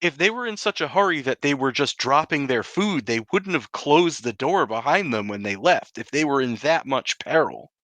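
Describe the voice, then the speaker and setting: A man's voice: medium pitch, expressive, normal speed, hardly any pauses, a bit bright, no echo. A man casually roleplaying and narrating his character's actions as a player in a tabletop game.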